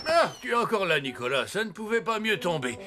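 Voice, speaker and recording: A high, lively old Father Christmas. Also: fairly quick, noisy background. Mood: cheerful, avuncular. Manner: theatrical, teasing, avuncular. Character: jolly, playful old Father Christmas mentoring his apprentice. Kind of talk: animated cartoon voice acting. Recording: music under the voice.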